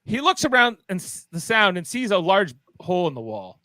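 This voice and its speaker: A man's voice: high-pitched, sing-song, brisk, pushed and bright, no echo. A man hosting and narrating a live amateur radio play in an informal, playful way. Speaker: host and narrator.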